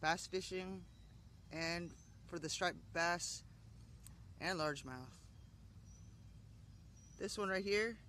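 A young woman filming her own fishing vlog on an action camera by a canal, talking casually and exclaiming loudly over her catches. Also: lowish voice. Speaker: fishing vlogger. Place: outdoors by a canal shore. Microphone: action camera on the body. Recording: outdoor wind and traffic noise.

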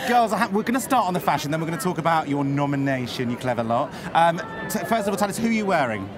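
A man's voice: a little high, expressive, quick pace. A man hosting a red carpet, camp, effusive and jokey, chatting with guests into a handheld mic outdoors. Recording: busy crowd behind.

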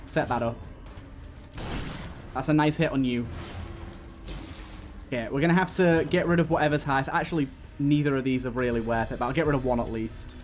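A man's voice: medium pitch, a little fast, long pauses. A man giving casual gameplay commentary, thinking aloud through his tactics as he plays. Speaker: gaming channel host. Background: game music.

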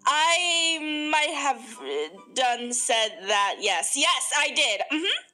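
Casting: woman as quirky teasing robot girl, high and lively, game roleplay. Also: slow, soft background music, heavily acted. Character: teasing, quirky female animatronic. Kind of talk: improvised Minecraft roleplay.

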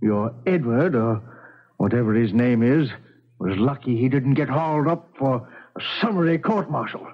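A man playing a blustering, domineering gentleman father in a radio drama, with a husky voice and pompous, old-fashioned phrasing. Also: medium pitch, lively intonation, normal speed. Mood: blustering, indignant. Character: blustering, gout-ridden, domineering gentleman father of colonial times. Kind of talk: 1970s radio drama acting. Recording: dull 1970s radio recording.

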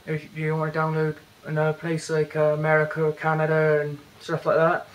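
A young British man casually walking through a phone's features in a bedroom, talking haltingly with many fillers and restarts. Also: on the high side, completely flat delivery, a little hiss or hum, low-quality recording. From Britain. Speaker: tech reviewer. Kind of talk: casual hands-on product walkthrough. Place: indoors, bedroom.

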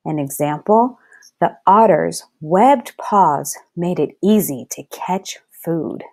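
A woman teacher reading a lesson aloud to young children in a deliberate, instructive way, enunciating each word. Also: fairly low pitch, animated, vocal fry. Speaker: first-grade teacher.

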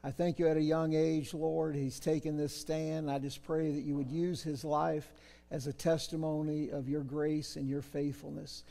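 A man's voice: on the high side, level tone, normal speed, gravelly. A middle-aged man, a pastor, praying earnestly and officiating baptisms in a church. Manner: earnest, gentle prayerful delivery. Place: church baptistry, large sanctuary. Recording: reverberant church sanctuary.

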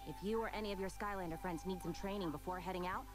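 A woman's voice: on the low side, fast, music in the background, lightly acted. An actress playing a young, wry, capable female animal adventurer in a cartoon video game, confident and sarcastic.

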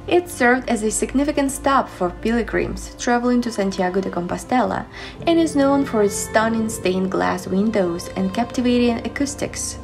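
A woman's voice: on the high side, moderate pace, gravelly. A young woman reading a scripted voice-over as the narrator of a travel guide. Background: background music.

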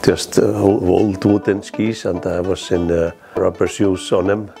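A middle-aged man from Iceland's East Fjords with an Icelandic accent, reminiscing in halting, plain, non-native English in a documentary interview. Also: sits low, steady tone, creaky, dark tone. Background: guitar music.

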